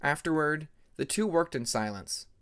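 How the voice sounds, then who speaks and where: A man's voice: on the high side, expressive, moderate pace, fairly bright tone. A man reading a scripted fantasy story as an audiobook narrator.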